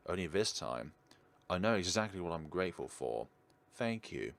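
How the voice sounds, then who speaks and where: A man's voice: sits low, very animated, moderate pace, fairly bright tone. A man reading a visual novel aloud theatrically and adding his own casual commentary as a let's player. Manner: theatrical, emphatic reading with interjected reactions. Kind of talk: dramatic read-aloud narration with casual gameplay commentary. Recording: clearly noisy background.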